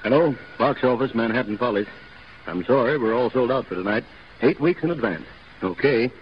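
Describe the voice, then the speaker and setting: A man's voice: mid-range voice, animated, brisk, lo-fi sound, slight background noise, lightly acted. A man acting in a 1930s radio drama as a blunt, fatherly veteran Broadway manager, firm and straight-talking. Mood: businesslike.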